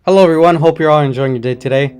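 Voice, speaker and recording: A man with a slightly hoarse voice and occasional voice cracks, giving a chatty, rambling movie review at home. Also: a little high, racing, music in the background. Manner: chatty, rambling, enthusiastic opinions. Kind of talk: casual non-spoiler movie review.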